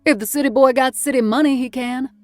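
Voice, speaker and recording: A woman voicing a gruff male cook from the American South in an audiobook, blunt, folksy and scolding. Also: high-pitched, fairly even intonation, fast talker, darkish tone, soft background music, pitched above the performer's own voice, natural voice. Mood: teasing, wary. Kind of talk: audiobook character voice.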